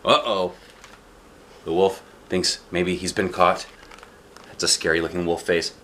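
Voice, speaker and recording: A man reading a picture book aloud as a dad does, in expressive narration with casual asides. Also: low voice, unhurried, a little hiss or hum.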